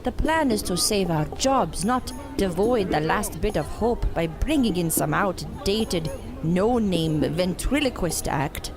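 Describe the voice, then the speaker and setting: An actress playing a haughty carnival mystic in an audio drama, cutting and disdainful. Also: female voice, lowish voice, animated, unhurried. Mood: scornful. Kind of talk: scripted audio drama acting. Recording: music behind the voice.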